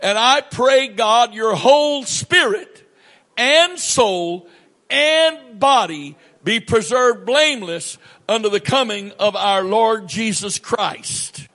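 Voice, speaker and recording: Man, pastor, high voice, slow deliberate sermon, emphatic pauses, church setting. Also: expressive, sharp tone. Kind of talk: sermon with scripture reading.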